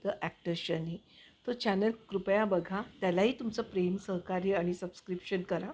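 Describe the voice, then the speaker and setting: A woman hosting her own channel, chatting to viewers in a casual, explanatory way with hesitant pauses as she finds her words. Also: mid-range voice, a little mellow. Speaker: actor and video channel host.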